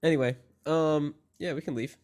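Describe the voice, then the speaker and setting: A man's voice: fairly high pitch, steady tone, normal speed, a little mellow, soft background music. Hesitant man playing a tabletop game. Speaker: player. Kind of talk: casual game chat.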